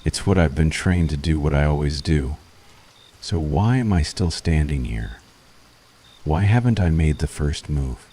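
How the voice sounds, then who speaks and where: A man playing a brooding, conflicted witch hunter in an intense, deliberate ASMR roleplay monologue. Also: extremely low pitch, lively intonation, dark tone, heavily acted. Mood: troubled, questioning.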